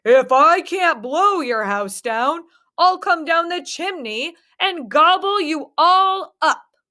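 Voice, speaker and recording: A woman's voice: very high-pitched, lively intonation, takes it slowly, sharp tone, pitched above the performer's own voice, full character voice. Storybook villain: woman voicing a hungry male wolf, growled and drawn out, slightly raised and pushed. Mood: furious. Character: hungry, menacing big bad male wolf.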